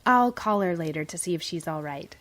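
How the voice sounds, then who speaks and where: A woman's voice: fairly low pitch, lively intonation, brisk, noisy background. A woman cast member of a radio show in friendly scripted chat.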